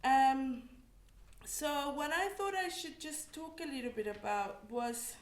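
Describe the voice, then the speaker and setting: A woman from Mexico, an academic on a panel, speaking reflectively with frequent restarts. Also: sits high. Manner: reflective, halting, many restarts. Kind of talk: personal testimony on a panel. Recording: echoey room.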